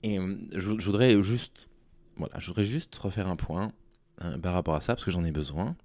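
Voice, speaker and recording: A man speaking informally to his online audience in a halting, hesitant way, with a worn-out, small, tired voice. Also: sits low, darkish tone, dry recording. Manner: halting, hesitant delivery with long pauses. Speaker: online video creator. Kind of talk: informal talking to audience, explaining personal situation.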